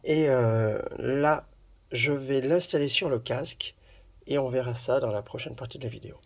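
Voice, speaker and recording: A man presenting a product in a casual hands-on demonstration, talking haltingly with pauses as he handles things. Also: medium pitch, steady tone. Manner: halting, pausing while handling items. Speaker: video presenter reviewing a product.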